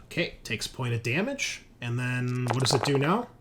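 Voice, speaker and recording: A man's voice: medium pitch, sing-song, normal speed. A man running a tabletop roleplaying game casually as game master, on a home mic. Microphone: home mic on online group call. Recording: clear background noise on home mic.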